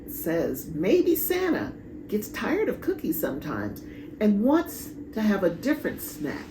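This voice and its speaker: A woman reading a children's story aloud in a dramatic, deliberate way with pauses. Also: mid-range voice, huge pitch swings. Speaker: storybook reader. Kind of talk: expressive children's read-aloud. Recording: echoey room, clear background noise.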